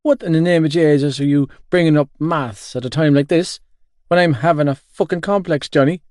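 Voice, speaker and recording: A man voicing a loud, comically wounded young man in an audiobook, exclaiming with melodrama. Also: mid-range voice, normal speed, dry recording, studio quality, lightly acted. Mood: aggrieved, panicked. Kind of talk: audiobook character dialogue.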